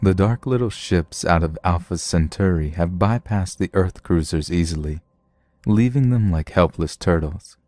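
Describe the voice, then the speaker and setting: A man narrates a science fiction story in a low, dark voice. He reads slowly and deliberately, and the sound is close and dry. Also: dry recording. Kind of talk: scripted narration, audiobook reading of a sci-fi story.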